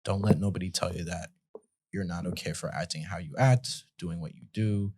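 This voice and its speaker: A young man from Montreal hosting a podcast, playful and animated in casual chat. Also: sits low, moderate pace. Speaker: podcast host.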